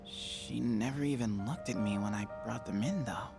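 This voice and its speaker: An actor playing a soft-hearted, protective teenage boy in a dramatic video game, speaking in a halting, emotional way. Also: lowish voice, vocal fry. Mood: wistful. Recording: loud music over the voice.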